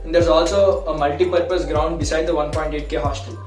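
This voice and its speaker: A man's voice: on the high side, steady tone, fairly quick, a little mellow. A young adult man with a South Indian accent, a college student chatting casually and emphatically about campus life. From Hyderabad, India. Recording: echoey room, distant mic, music behind.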